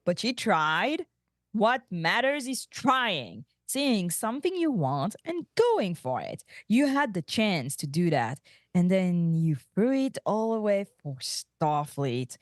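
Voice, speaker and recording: A woman's voice: mid-range voice, sing-song, slow pace, no room sound, clean studio sound. A woman reading the part of a seductive, unapologetic female alien space rogue in a comedic table read. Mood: proud, chiding.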